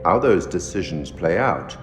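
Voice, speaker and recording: An actor playing a mysterious, ominous curator of tales in a video game, speaking in a grave, formal, theatrical manner. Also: male voice, low voice, big pitch swings. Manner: grave, deliberate, cryptic, formal. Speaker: narrator addressing the player. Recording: echoey hall with loud music behind.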